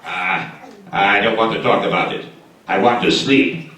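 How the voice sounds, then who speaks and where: A man's voice: lowish voice, a little slow, sharp tone. A man voicing a sleepy, hungry, grumbling male layabout in a live puppet show. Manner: grumbling, drowsy, blunt. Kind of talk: live shadow puppet theatre. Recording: echoey hall, distant mic.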